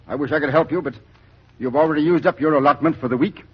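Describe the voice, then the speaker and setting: An earnest, higher-voiced relief official. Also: male voice, slightly rough. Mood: regretful. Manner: earnest, measured, oratorical. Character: weary, sympathetic but firm male relief official. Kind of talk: 1940s radio drama acting. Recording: muffled vintage radio recording.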